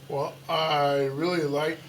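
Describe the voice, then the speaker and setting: An older male musician, hoarse from singing the night before, chatting playfully as a guest. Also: a little high, flat delivery, slow, slight background noise. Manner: playful, jokey, breaks into song. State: hoarse, losing his voice. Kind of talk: casual interview chat.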